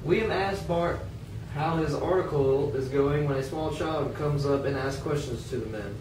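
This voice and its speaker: A man's voice: on the high side, normal speed. A man reading scripted narration for an amateur puppet show. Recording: echoey room, distant mic, faint noise.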